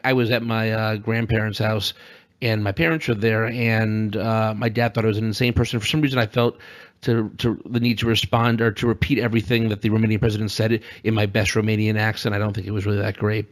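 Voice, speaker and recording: A man co-hosting a podcast with casual, joking political commentary full of teasing asides. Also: on the low side. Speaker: podcast co-host.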